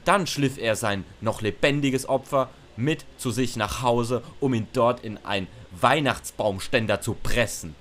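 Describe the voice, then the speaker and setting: Guy doing a pompous zealous prosecutor in a comedy audio drama, mid pitch. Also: unhurried, a bit bright, close to an everyday voice. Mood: formal. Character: zealous, accusing pony prosecutor, pompously formal.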